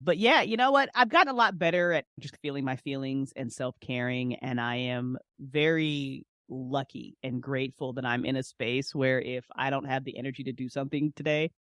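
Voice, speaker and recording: A woman's voice: rumbling low, normal speed, hardly any pauses, fairly bright tone, no echo, clean studio sound. A woman speaking as an interview guest in an informal, passionate way, leaning on key phrases and repeating them for emphasis. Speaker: podcast guest, independent entrepreneur. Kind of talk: casual, passionate interview conversation.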